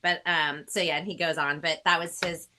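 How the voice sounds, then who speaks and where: A woman's voice: low-pitched, fairly even intonation, moderate pace, bright, forceful tone, slight background noise. A woman chatting casually and appreciatively as the host of a music interview. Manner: gushing, appreciative. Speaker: host and singer-songwriter. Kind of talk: casual video-call chat.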